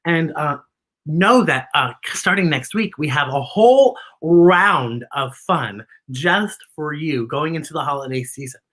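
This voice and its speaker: An American woman hosting a children's story time, reading aloud and chatting in an expressive, encouraging way straight to young viewers. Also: low voice, unhurried. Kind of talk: live read-aloud with chatty commentary.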